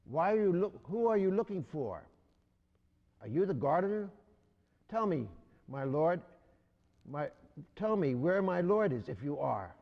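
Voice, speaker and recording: A man's voice: on the high side, very animated, measured pace, creaky, pauses often, long reverb tail, muffled recording, heavily acted. A man voicing a grieving woman disciple in a rhyming storybook read aloud. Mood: sorrowful. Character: grieving then joyful woman disciple, Mary.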